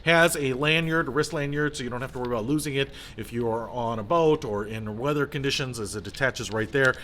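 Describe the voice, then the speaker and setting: Bright-voiced man reviewing a gadget. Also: medium pitch, a little fast, a little hiss or hum. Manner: enthusiastic, colloquial. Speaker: product reviewer. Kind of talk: casual hands-on product review to camera.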